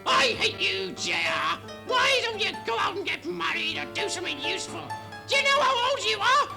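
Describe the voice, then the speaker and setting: A woman's voice: extremely high pitch, big pitch swings, normal speed, creaky, over music, exaggerated voice. A woman playing a shrieking, nagging mother in a comedy radio play from a 1970s hospital station. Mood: scolding. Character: shrill, scolding, melodramatic mother of a grown son.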